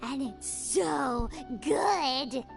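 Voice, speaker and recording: A woman voicing a tiny, excitable, childlike fairy companion in an anime video game, chirpy and eager. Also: drawn-out words, creaky, heavily acted. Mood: excited, helpful. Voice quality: squeaky, childlike. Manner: chirpy, eager, speaks of herself by name. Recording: loud game music behind the voice. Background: game music.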